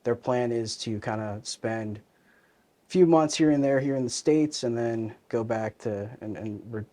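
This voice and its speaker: A man's voice: mid-range voice, unhurried, dark tone. A man in his thirties, born in Hong Kong and raised in New Jersey, talking casually as an interview guest with frequent fillers and restarts. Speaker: interview guest, commercial real estate advisor.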